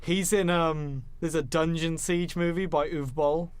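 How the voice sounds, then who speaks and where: A man with a slightly high-pitched voice co-hosts a casual film discussion. He speaks as an emphatic, opinionated fan and swears freely. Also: fairly even intonation, unhurried, clear, resonant tone, dry recording. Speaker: podcast co-host.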